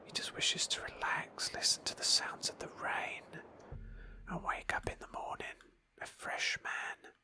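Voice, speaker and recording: Asmr girl whispering thru a game roleplay, super breathy n crisp, slow pauses, woman. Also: bright, forceful tone, low-quality recording. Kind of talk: whispered ASMR, improvised storytelling over a game playthrough.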